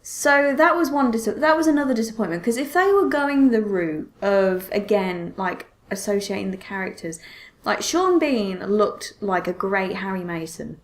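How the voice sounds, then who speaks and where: A woman speaks as a podcast co-host in a casual, conversational way. Her voice sits at a middling pitch as she chats informally about films. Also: lively intonation, normal speed.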